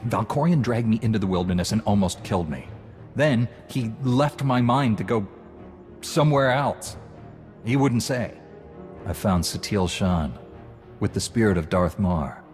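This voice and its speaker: A fairly low male voice of a heroic Jedi commander played by an actor, over clear music. He is firm and earnest, with the dramatic delivery of a video game hero. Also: measured pace, a little mellow, natural voice. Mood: earnest explaining. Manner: earnest, resolute, authoritative. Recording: music behind the voice.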